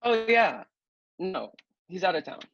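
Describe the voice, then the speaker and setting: Animated, husky, flustered young man, acted by a man. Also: high voice, unhurried, heavily acted. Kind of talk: comedic script read on a video call. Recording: dull lo-fi video-call audio.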